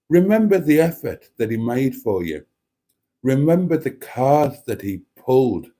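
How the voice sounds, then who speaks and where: A man hosting a casual live chat and reading out viewer comments, with a wry, deadpan delivery. He is snotty and has a cough. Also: mid-range voice. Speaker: livestream host reading chat.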